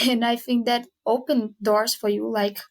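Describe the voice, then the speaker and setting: Brazilian woman, halting English. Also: on the high side, flat delivery. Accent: Brazilian Portuguese. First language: Portuguese. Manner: searching for words, halting. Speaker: English student guest. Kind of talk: casual podcast chat.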